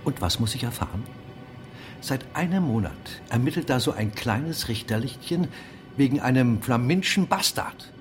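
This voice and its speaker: An actor playing an angry, bullying middle-aged mayor in a German radio drama, scornful and commanding. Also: male voice, medium pitch, animated, moderate pace, soft background music, close to an everyday voice.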